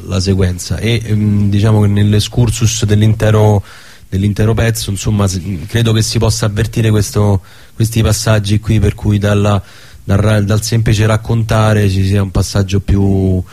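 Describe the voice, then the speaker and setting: A man talking as a guest in a radio interview, halting and often rephrasing himself as he explains. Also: fairly low pitch, dark tone. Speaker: theatre director, guest. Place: radio studio.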